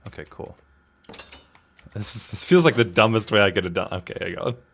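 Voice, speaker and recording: A man's voice: fairly low pitch, expressive, brisk. A man giving casual gameplay commentary, talking to himself in a slangy, self-deprecating, exclamatory way. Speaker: gaming video host.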